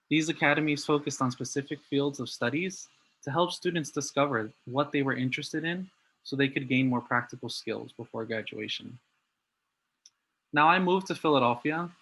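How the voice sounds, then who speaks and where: A young man from New Jersey giving a prepared talk in an earnest, steady way. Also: mid-range voice, low-quality recording. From New Jersey, USA. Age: young adult. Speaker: student speaker.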